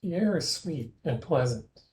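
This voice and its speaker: A man leading a guided imagery meditation in a gentle, measured way, leaving long pauses between phrases. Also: on the high side, animated.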